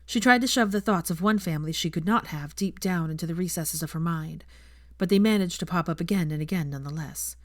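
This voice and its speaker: A woman's voice: on the low side, fairly quick, no echo, studio quality. A woman narrating scripted fiction as an audiobook reader.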